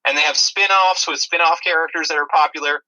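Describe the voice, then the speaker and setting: A man's voice: fairly high pitch, fairly even intonation, quick pace, sharp tone, low-quality recording. A man co-hosting a podcast, casually explaining things in a rambling, dryly humorous way over a thin, radio-like connection. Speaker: podcast co-host. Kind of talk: casual chat about video-game movies.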